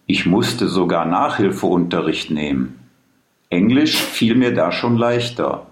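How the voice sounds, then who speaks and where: Deep slow-speaking man in his fifties. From Germany. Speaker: speaker in a listening exercise. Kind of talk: slow read-aloud monologue. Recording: roomy echo.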